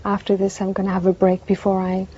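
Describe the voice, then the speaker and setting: A young Irish woman, Irish Gaelic her first language, giving soft, hesitant interview answers with many fillers. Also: mid-range voice, monotone, fast talker, husky. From northwest Ireland. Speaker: musician guest. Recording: dull lo-fi broadcast tape.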